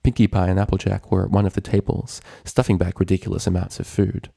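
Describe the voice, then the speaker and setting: A man's voice: deep voice, little pitch movement, quick pace, vocal fry, warm tone, no room sound, studio quality. A man reading a story aloud as scripted narration. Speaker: fan fiction reader, narrator.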